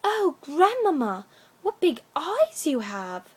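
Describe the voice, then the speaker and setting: A woman's voice: high-pitched, huge pitch swings, slow, darkish tone, voice pushed up, full character voice. A woman voicing an innocent, curious little girl in a storybook, with wide-eyed, emphatic delivery. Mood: astonished.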